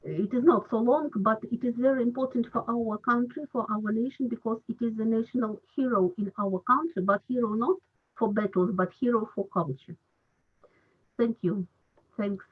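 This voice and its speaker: Woman hosting an online panel, hesitant English, husky voice on a phone-like line. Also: on the high side. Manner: halting non-native English, many fillers. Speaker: round table moderator. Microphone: video call. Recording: husky voice over a tinny call line.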